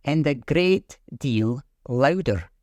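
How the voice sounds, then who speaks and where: A man's voice: medium pitch, slow, no echo, clean studio sound, pitched above the performer's own voice. A man voicing a passionate, bossy female tiger lily in a storybook reading. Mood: imperious. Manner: imperious, excitable.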